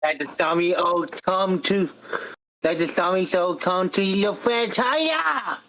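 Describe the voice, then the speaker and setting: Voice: mid-range voice, a little slow, a bit bright. A performer reading an obnoxious, teasing young male content creator in an amateur table read, with muffled online audio.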